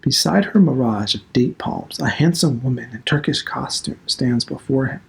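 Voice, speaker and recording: Gravelly man reading literary prose. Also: medium pitch, normal speed. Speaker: literature instructor reading aloud. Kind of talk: dramatic literary narration.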